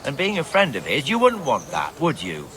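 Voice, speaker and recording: A man's voice: mid-range voice, huge pitch swings, moderate pace, a bit bright, slight background noise. A man voicing a blunt, bossy town watchman in a medieval video game, with a chatty, hectoring manner. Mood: wry, pressing. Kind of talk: dramatic game cutscene dialogue.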